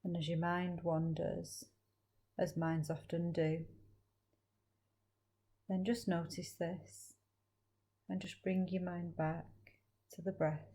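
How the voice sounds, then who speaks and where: A woman leading a guided meditation as a teacher, speaking slowly and gently with long, soothing pauses. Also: fairly low pitch, little pitch movement.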